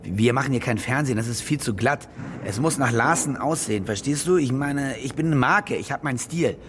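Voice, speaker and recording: A man's voice: medium pitch, a little fast, noisy background, close to an everyday voice. A man playing a cynical, demanding star war reporter in a naturalistic radio drama, brusque and self-important. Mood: demanding, bossy.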